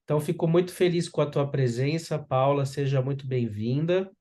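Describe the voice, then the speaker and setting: A man's voice: a little high, moderate pace, dark tone. A man hosting an interview, welcoming his guest with frequent filler words. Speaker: host. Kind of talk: interview introduction.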